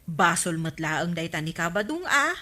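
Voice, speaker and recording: Animated, bright Ilocano village gossip. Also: female voice, lowish voice, moderate pace, full character voice. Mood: gossipy. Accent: Ilocano Filipino. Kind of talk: Filipino radio comedy drama acting.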